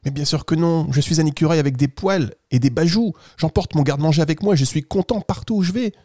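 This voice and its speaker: Kindly storybook squirrel, performed by a man: soft, mellow, patient and reassuring, close dry sound. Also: a little high, quick pace, dry recording, lightly acted. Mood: wise, cheerful. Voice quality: soft, gentle voice. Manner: patient, explaining simply to a child. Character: kind, reassuring talking red squirrel of children's tale.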